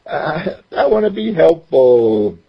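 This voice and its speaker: A man voicing an earnest ten-year-old boy who is eager to help, speaking higher and somewhat whiny. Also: big pitch swings, slow, gravelly, husky, muffled recording, exaggerated voice.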